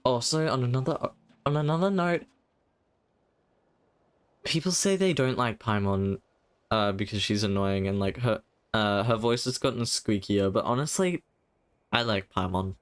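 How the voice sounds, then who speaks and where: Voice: medium pitch, moderate pace, pauses often. A game streamer talking live in rambling asides and reading dialogue aloud, sometimes stumbling over it.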